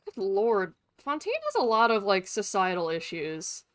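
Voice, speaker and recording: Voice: mid-range voice, big pitch swings, measured pace, a bit bright. A streamer giving casual, blunt, sweary commentary while playing a video game.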